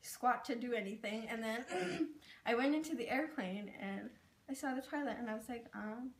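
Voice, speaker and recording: Higher-voiced young woman, roomy and distant. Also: normal speed. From Burma, raised in Thailand. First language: Karen. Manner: chatty, with many "like" and "um" fillers. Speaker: interviewee sharing her experience. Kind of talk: casual personal storytelling.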